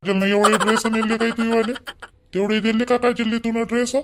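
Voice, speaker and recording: A man's voice: extremely high pitch, level tone, brisk, background noise. A man with a Vidarbha Marathi accent playing a young newly married husband in a village cartoon, blunt, bossy and impatient.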